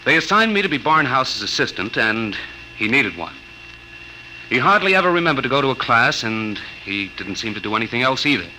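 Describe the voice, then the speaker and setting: An actor playing an earnest, polite young American psychology instructor in an old-time radio drama. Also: male voice, mid-range voice, big pitch swings, brisk, pushed and bright, rough recording. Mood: narrating, matter-of-fact. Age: young adult. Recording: vintage radio recording with hiss.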